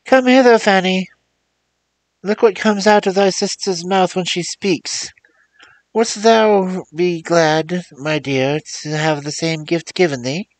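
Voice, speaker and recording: A man voicing a greedy, scolding mother in a read-aloud fairy tale, pushing his voice high and loud. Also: fairly even intonation, slow, no echo, low-quality recording. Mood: coaxing.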